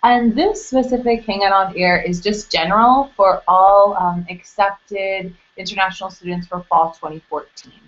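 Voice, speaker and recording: A woman's voice: mid-range voice, fairly even intonation, moderate pace, few pauses. A young woman hosting a live webcast information session for an admissions office. She talks casually and explains things step by step, with plenty of fillers. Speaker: international admissions staff host. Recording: dull lo-fi webcast audio.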